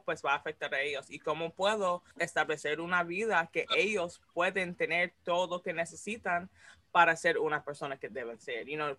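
Bright, slangy young man hosting. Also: on the high side, no echo. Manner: switches between Spanish and English, relaxed slang. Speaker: podcast host. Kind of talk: casual interview chat.

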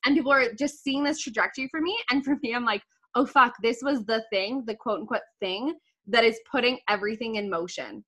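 A woman's voice: a little high, fairly quick. A woman in her twenties hosting a podcast, chatting casually, swearing freely and laughing often as she tells stories. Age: 20s. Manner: swears freely, laughs a lot, animated storyteller. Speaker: podcast host.

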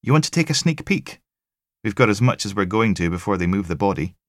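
Man voicing a wry Scottish woman technician, higher pitch. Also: lively intonation, a little fast, no room sound, clean studio sound, lightly acted. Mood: teasing. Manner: wry, matter-of-fact. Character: short, wry, blunt female forensic technician.

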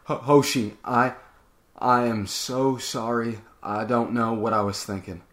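A man's voice: medium pitch, steady tone, a little slow, fairly bright tone, natural voice. Man acting an exasperated, sardonic starship crewman. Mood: flustered apology. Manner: stammering, sardonic. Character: exasperated adult male starship crewman, sarcastic, flustered. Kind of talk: dramatic reading of fan fiction.

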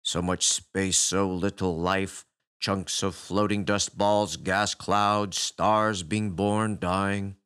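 A man voicing a weary, touchy male space alien who talks like a growling tough guy, deep and gravelly. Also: lively intonation, normal speed, fairly bright tone. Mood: gloomy.

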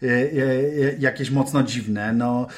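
A man on a football podcast panel, thinking aloud in a halting, casual way with many repeated words. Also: mid-range voice, fairly even intonation.

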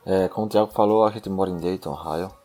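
Young man from northeast Brazil, low voice, hesitant, restarts his sentences, casual podcast chat. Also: fairly even intonation, fast talker, warm tone. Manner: hesitant, with restarts and light laughs. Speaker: podcast co-host.

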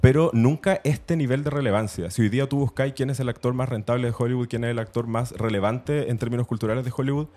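Chatty Chilean man with a mellow voice. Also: mid-range voice, quick pace, hardly any pauses. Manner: chatty, slangy, enthusiastic. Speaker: podcast co-host. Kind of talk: casual podcast chat.

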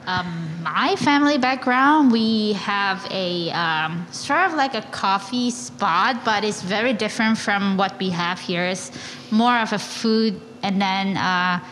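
A woman's voice: mid-range voice, slow pace, a bit bright, in a large hall, slight background noise, poor audio. A woman from Malaysia with a Malaysian accent, a coffee shop co-founder chatting as a guest in a friendly, hesitant way. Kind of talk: casual interview.

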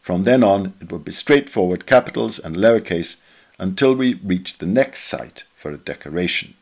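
A man reading a memoir essay aloud in a measured, literary, expressive way. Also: sits low, vocal fry. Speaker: reader of a magazine article.

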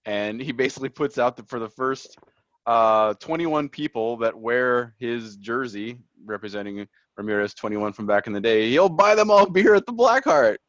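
A man's voice: medium pitch, moderate pace, fairly bright tone, no echo. Guy hosting a soccer podcast, laughs a lot, kinda dull lo-fi sound, dry. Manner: laughs often, chatty and upbeat. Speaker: podcast host. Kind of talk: casual sports podcast chat.

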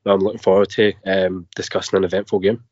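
Croaky Scottish man with a cold. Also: low voice, level tone, fast, a little mellow, no echo. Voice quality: a bit croaky. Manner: repeats words, fillers. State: has a cold. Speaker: podcast panelist. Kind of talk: casual football podcast chat. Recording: dry, dull lo-fi podcast audio.

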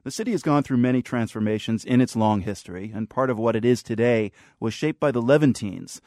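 A man's voice: medium pitch, fairly quick, a little mellow, no room sound, clean studio sound. A man presenting a radio news program from a script.

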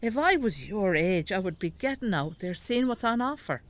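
A woman's voice: medium pitch, big pitch swings, normal speed, natural voice. An actress playing a stubborn, frank elderly farm mother with an Irish accent in a radio drama. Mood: frank, urging. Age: older. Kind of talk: naturalistic radio drama acting.

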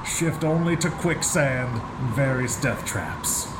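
An actor playing a snobbish, irritable, well-travelled gentleman plumber in a comic radio play, with mannered old-fashioned phrasing. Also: medium pitch, slow pace, heavy background noise, lightly acted. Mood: exasperated.

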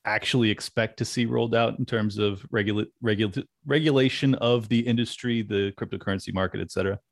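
A man's voice: lowish voice, fairly even intonation, fast, dry recording, clean studio sound. A man hosting a podcast interview, friendly and joking with his guest. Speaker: podcast host. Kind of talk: casual interview chat.

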